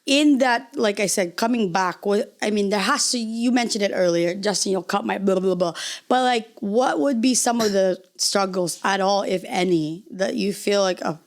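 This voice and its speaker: A woman's voice: mid-range voice, normal speed. A woman hosting a podcast interview, asking rambling questions in a chatty, joking way. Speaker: podcast host. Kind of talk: casual interview conversation.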